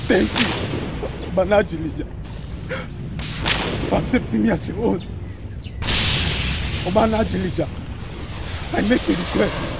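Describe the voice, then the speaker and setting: An actor playing a heartbroken young man who calls on a deity for revenge, speaking in a slow, solemn way. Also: high-pitched, vocal fry, heavy background noise. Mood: vengeful. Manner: slow solemn invocation, gloating laughter. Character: heartbroken young man turned vengeful, praying. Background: loud music.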